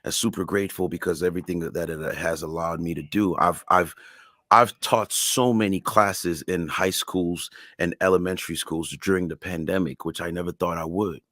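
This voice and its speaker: A man with a deep, low voice talks in English, though French is his first language. He speaks in an earnest, emphatic way, like an artist opening up in an interview. The sound is dry and close, with little room to it. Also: steady tone, moderate pace, fairly bright tone, dry recording. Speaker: music artist guest. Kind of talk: casual interview conversation.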